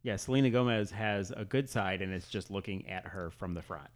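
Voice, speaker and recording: A man with a fairly low voice co-hosts a casual pop culture podcast. His manner is wry, leaning on joking exaggeration. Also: fairly quick. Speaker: podcast co-host.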